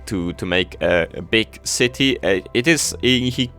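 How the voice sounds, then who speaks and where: Halting young German-speaking guy, faint music. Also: lowish voice, sharp tone. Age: teens. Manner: halting, many fillers and restarts. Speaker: city-building game creator. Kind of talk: casual gameplay commentary.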